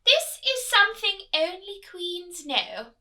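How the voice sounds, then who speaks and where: A woman's voice: very high voice, big pitch swings, takes it slowly, pushed and bright, pitched above the performer's own voice, heavily acted. A woman voicing a nagging, commanding queen in a children's storybook, scolding and emphatic. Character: nagging, commanding queen, mother of a grown prince. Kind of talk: children's storybook read-aloud.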